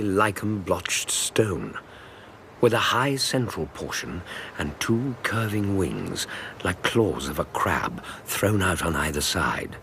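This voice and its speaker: An actor playing a loyal, observant Victorian gentleman who narrates a radio drama in an English accent, with a measured, literary storytelling manner. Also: low-pitched, a little hiss or hum, heavily acted.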